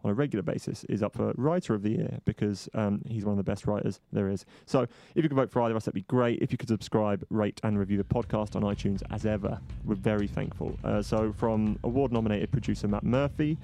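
A man's voice: on the low side, mellow. A man hosting a football podcast in casual chat, wry and dryly humorous, with plenty of fillers. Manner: wry, dry humour, quick, filler-heavy. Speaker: podcast host.

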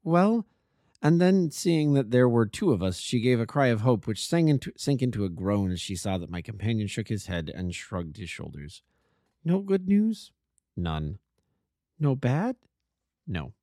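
A man reading a classic detective story aloud as an amateur narrator, expressive and lively. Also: on the low side, a little fast, few pauses, dry recording, clean studio sound.

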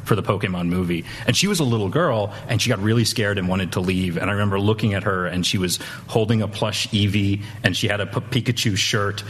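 Man, lowish husky voice, casual panelist, wry hesitant storyteller. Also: fast, few pauses. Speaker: panelist on a movie news show. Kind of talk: casual anecdotal chat.

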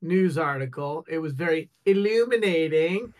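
Guy cohosting a loose news chat, higher voice, jokey and rambly. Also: moderate pace, slight background noise. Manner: joking, rambling, animated. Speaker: co-host. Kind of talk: casual panel chat.

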